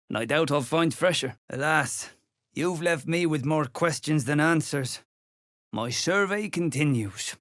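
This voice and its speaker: A man's voice: a little high, a bit bright, no room sound, clean studio sound. A man voicing a weary, scholarly dwarf stonemason in a video game, courteous and curious, with an ornate, musing way of talking. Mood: earnest. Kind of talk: video game character acting.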